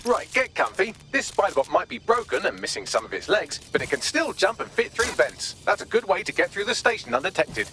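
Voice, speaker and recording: Game AI helper: British, wry, bright higher-set voice, quick and articulate. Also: male voice, big pitch swings, soft background music, exaggerated voice. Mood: brisk, informative. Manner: dry sarcasm, breezy and articulate. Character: witty, sardonic male artificial intelligence assistant. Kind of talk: video game voice acting.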